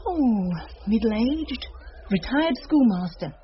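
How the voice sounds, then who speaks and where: A knowing village gossip in a radio play. Her voice is high and swings widely as she draws out her words, on a slightly muffled recording. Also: animated, takes it slowly. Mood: sly, teasing. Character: gossipy, knowing local woman sharing village news. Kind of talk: radio drama acting. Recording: dull, faintly noisy radio recording.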